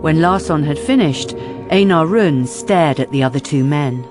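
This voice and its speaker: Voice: mid-range voice, measured pace, close to an everyday voice. A performer narrating a police thriller in scripted dramatic radio style. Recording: music under the voice. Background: music.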